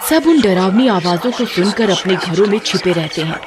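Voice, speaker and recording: A woman's voice: medium pitch, lively intonation, moderate pace. A woman narrating a scripted story as a storyteller.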